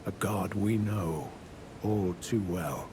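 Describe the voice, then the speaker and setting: Low-voiced, courtly old knight. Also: male voice, noisy background. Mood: solemn, thoughtful. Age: older. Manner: formal, archaic, measured, courteous. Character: courteous, world-weary old knight turned scholarly investigator. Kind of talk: video game character dialogue.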